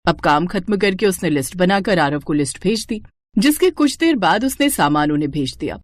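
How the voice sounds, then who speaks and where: A woman's voice: fairly low pitch, expressive, moderate pace, no room sound. A woman narrating a scripted cartoon moral story as its storyteller.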